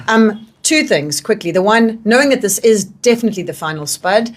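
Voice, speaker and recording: A woman with a medium-pitched voice hosts a casual radio interview. She speaks informally and gushes as she puts her questions to the guest. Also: lively intonation, brisk.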